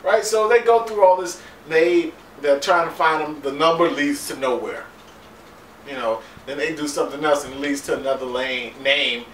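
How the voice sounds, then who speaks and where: A man's voice: fairly high pitch, normal speed, a bit bright. A man giving a casual comedic recap of a TV episode in an incredulous, laughing, profane way. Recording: roomy, distant mic, faint background noise.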